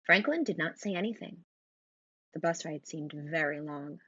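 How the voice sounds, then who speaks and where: A woman reading a children's storybook aloud in an expressive narrating style. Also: low voice, normal speed, breathy edge, no room sound, lo-fi sound. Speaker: storybook reader.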